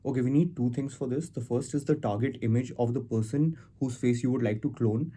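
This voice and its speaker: A man hosting a casual software tutorial, explaining things step by step as he goes. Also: mid-range voice, a little fast, slightly rough. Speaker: tutorial host. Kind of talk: casual screen-recorded software walkthrough.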